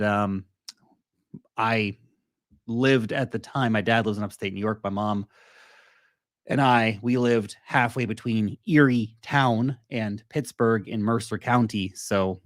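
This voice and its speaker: A man's voice: mid-range voice, dry recording, clean studio sound. A man hosting a casual livestream on crypto and stock markets, rambling through asides and answering his chat as he goes. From United States.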